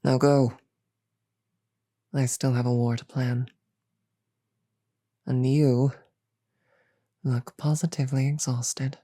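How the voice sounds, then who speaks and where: A woman voicing a regal, teasing, magnanimous demon queen in a roleplay audio drama, with a deliberate, amused drawl. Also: rumbling low, level tone, no echo, clean studio sound, exaggerated voice. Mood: commanding, kindly. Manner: slow, deliberate, amused drawl with soft chuckles.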